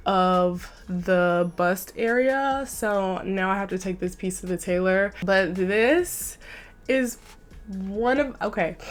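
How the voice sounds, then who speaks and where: A young woman with a stuffy nose from a slight cold, chatting enthusiastically to camera in a casual fashion vlog. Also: mid-range voice. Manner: chatty and enthusiastic, says "like" often. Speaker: fashion vlogger.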